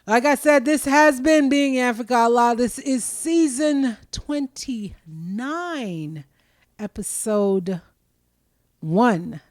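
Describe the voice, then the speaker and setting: A woman's voice: on the high side, animated, slow, a little mellow, dry recording, studio quality. A woman hosting a podcast in casual, frank conversation, asking probing questions. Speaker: podcast host.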